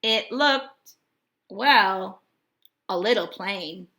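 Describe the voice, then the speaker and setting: A woman teacher reading a storybook aloud and talking to her students in an expressive, deliberate way. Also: mid-range voice. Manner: expressive, deliberate, addressing children directly.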